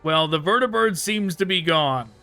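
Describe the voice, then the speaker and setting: High-pitched, bright-voiced man gaming. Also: animated, moderate pace, faint music behind. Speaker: gaming streamer. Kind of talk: casual let's play commentary.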